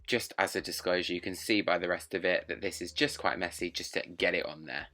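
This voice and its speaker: A man's voice: low-pitched, fairly quick, sharp tone, soft background music. A young man with a sore throat, narrating a makeup tutorial step by step in a chatty way. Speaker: drag performer and makeup vlogger.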